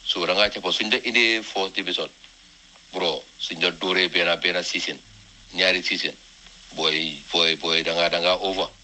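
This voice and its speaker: A man's voice: low-pitched, fairly even intonation, moderate pace, bright, forceful tone, a little hiss or hum, rough recording. A man sharing his take informally in a group discussion. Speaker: discussion participant. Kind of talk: casual spoken opinion on a topic.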